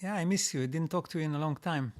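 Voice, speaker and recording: Higher-voiced man, halting and repetitive. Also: a little mellow. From Israel. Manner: halting, frequent restarts and repeated words; reads out comments, then answers. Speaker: host answering viewer comments. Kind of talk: live-streamed casual Q&A.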